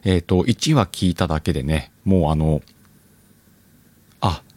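A man's voice: low-pitched, lively intonation, measured pace, darkish tone, no room sound. A man hosting a channel, chatting informally and addressing his listeners as he makes a casual announcement. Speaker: channel host.

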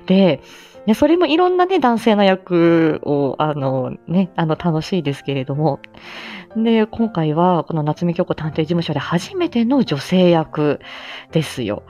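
A woman's voice: fairly low pitch, very animated, a little slow, mellow, lo-fi sound, faint music behind. A woman chatting casually to listeners as a livestream host, effusive and humble, often breaking into small laughs. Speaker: livestream host and amateur voice actor.

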